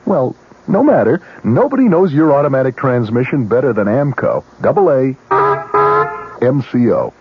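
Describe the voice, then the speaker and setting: A man's voice: mid-range voice, lively intonation, moderate pace, noisy background, natural voice. An actor playing an eager, showy repair salesman in a comic radio commercial, with boastful patter. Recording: dull old radio recording.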